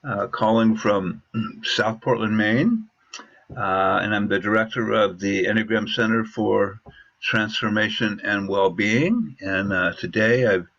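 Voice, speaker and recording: A man hosts an interview over a video call, with a fairly low voice. He speaks very slowly and haltingly, filling his pauses with "uh." The audio comes through muffled and lo-fi. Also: fairly bright tone. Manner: hesitant, many "uh" fillers. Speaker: interview host. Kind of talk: conversational interview.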